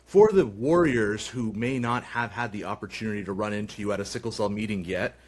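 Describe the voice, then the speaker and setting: A man hosting a podcast, his voice set fairly low. He asks long, winding questions and leans on fillers like um and you know as he builds up to his point. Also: a little fast. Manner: warm, rambling questions with many fillers. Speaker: podcast host, physician. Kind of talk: podcast interview.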